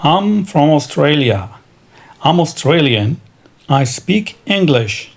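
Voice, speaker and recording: A man teaching English vocabulary, reading country and nationality words in a slow, deliberate drill. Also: a little high, sing-song. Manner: slow, deliberate, spells out each word. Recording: clear background noise.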